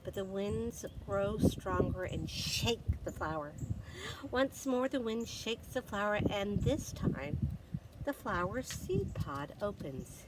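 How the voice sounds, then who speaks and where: A woman storyteller reading a picture book aloud to young children, expressive and dramatic, with deliberate pauses and emphasis. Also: mid-range voice, very noisy. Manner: expressive, dramatic pauses, gasps and exclamations for effect.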